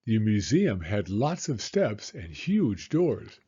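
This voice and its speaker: A man reading a children's storybook aloud in an expressive, deliberate storytelling manner. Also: lowish voice, husky, highs cut off. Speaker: storybook reader. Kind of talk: children's read-aloud narration.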